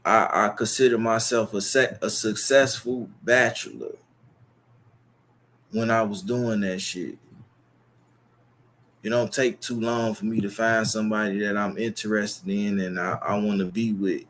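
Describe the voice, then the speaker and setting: A man's voice: fairly low pitch, fairly even intonation, measured pace, fairly bright tone. A young man with a Chicago accent, talking straight to his viewers in a long, boastful, profane and rambling monologue. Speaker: YouTube streamer.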